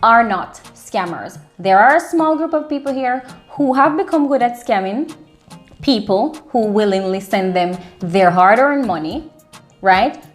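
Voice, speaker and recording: Jamaican woman vlogger, earnest and emphatic. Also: medium pitch. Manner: earnest, emphatic, with frequent fillers. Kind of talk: casual explanatory vlog.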